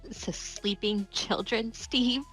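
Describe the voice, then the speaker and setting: A woman's voice: a little high, steady tone, takes it slowly, fairly bright tone, soft background music, rough recording. A woman playing a tabletop game, casually explaining her character's posture and thoughts. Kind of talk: casual in-character roleplay description.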